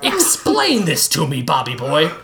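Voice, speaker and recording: A man's voice: fairly high pitch, wildly swooping pitch, unhurried, vocal fry, noisy background, pitched above the performer's own voice, full character voice. A combative rival restaurant owner who snarls and jabs with every line. A man voices him in a story reading, giving him a pushy, bullying bark. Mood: snarling.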